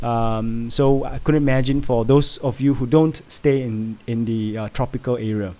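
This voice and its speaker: A man from Singapore, chatting casually on a home livestream and reading out chat, halting and full of fillers. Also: medium pitch, steady tone, warm tone. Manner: rambling, halting, many fillers and restarts. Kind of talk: casual live stream chat.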